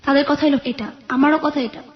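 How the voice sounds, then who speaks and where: A woman's voice: high-pitched, fairly even intonation, fairly quick, dull audio. A young woman with a Bangladeshi accent giving a personal account as an interview guest. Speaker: guest telling her life story.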